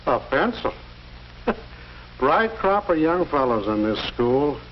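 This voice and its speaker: Grumbling older janitor, highish voice, slow delivery, sardonic, muffled 1940s film soundtrack. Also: male voice, sing-song, slight background noise. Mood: grumbling, scornful. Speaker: school janitor. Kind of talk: 1940s educational film acting.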